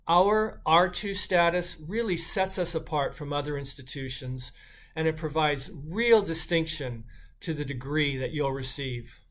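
A man's voice: on the high side, fairly bright tone. A middle-aged man giving a prepared welcome address as a university dean, speaking at a desk in a small office.

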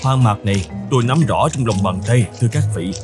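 A man voicing a seasoned, confident desert caravan guide in an animated fairy tale, speaking with assured command. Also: medium pitch, fairly quick, music in the background, close to an everyday voice.

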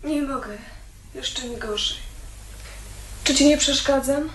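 A woman's voice: high voice, a bit bright. An actress playing a sickly, timid schoolgirl in a radio play, hesitant and sorrowful. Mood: frail. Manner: hesitant, plaintive, halting. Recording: echoey room, faintly noisy old recording.

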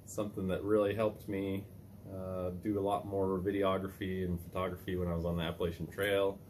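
A man in his thirties hosting a casual outdoor how-to vlog, talking in a relaxed, chatty way with many fillers, filmed on a phone held at arm's length on a mountain lookout tower. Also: deep voice, slight background noise. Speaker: vlogger host. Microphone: phone on a trekking pole at arm's length.

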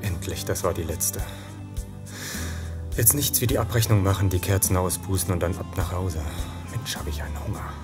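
An actor playing a defensive, irritable shopkeeper, snappy and indignant, in a horror radio play. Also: male voice, low-pitched, normal speed, music in the background, close to an everyday voice. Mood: weary, puzzled. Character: defensive, irritable male shopkeeper suspected of crimes. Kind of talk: German horror audio drama acting.

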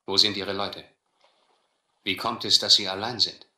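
An actor playing an earnest, wary, educated adventurer in a radio drama, speaking in a measured, literary way. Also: male voice, low voice, fairly even intonation, pushed and bright, roomy sound, heavily acted. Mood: wary, uneasy. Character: earnest, wary male castaway narrator, educated gentleman adventurer. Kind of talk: scripted first-person narration and dialogue in radio drama.

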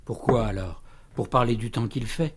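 An actor playing an older grieving father, gruff, reproachful and defiant in an audio drama. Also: medium pitch, normal speed, noisy background, natural voice. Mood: bitter.

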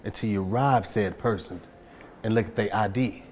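An actor in his thirties playing an animated male office worker in a casual comedy sketch. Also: lowish voice, moderate pace, lightly acted. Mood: mock-wise. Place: large open-plan office, indoors. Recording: faint office background noise.

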